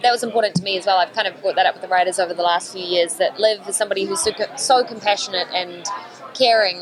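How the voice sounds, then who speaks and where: A woman actor answering press questions in a lively, chatty way, laughing often. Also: mid-range voice, rough recording. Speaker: actor being interviewed. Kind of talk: press room interview answers.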